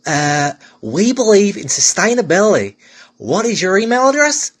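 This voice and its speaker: A man's voice: sits high, sing-song, normal speed, a bit bright, full character voice. A man playing a dismissive customer service worker with scripted, passive-aggressive politeness. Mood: falsely polite.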